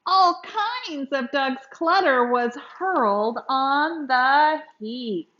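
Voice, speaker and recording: A woman reading a children's story aloud, expressively, with dramatic emphasis and drawn-out words. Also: sits high, bright, forceful tone, echoey room, lo-fi sound. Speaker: children's book reader.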